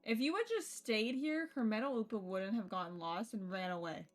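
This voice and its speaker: A woman streamer reading a visual novel aloud and adding casual, playful, expressive commentary. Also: mid-range voice, moderate pace, soft background music. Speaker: game streamer.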